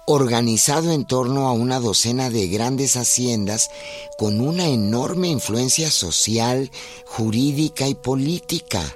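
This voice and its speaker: An actor as the solemn narrator of a radio biography, measured and emphatic in a literary scripted style. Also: male voice, medium pitch, close to an everyday voice.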